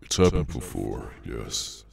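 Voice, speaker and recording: A man voicing a weary, menacing demonic henchman in an ASMR audio roleplay, sardonic and low-key, with a gravelly voice. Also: very animated, darkish tone, exaggerated voice. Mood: matter-of-fact menace. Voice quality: very deep, gravelly, creaky. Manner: slow, low-key, casually sinister, sardonic.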